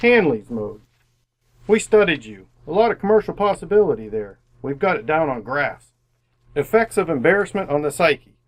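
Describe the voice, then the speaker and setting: A man's voice: on the high side, big pitch swings, moderate pace, rough recording. A man acting in an old-time style radio drama as a slick, chummy salesman, glib and emphatic. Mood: brash, pleased. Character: slick, chummy romance-agency salesman with boundless confidence.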